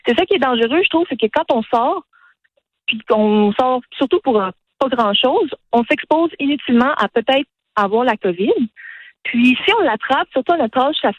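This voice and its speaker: A woman's voice: high-pitched, animated, muffled recording. A teenage girl with a Quebec French accent, a radio interview guest talking earnestly, often tagging her sentences with "là". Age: teens. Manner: earnest, fast-talking, frequent "là" tags.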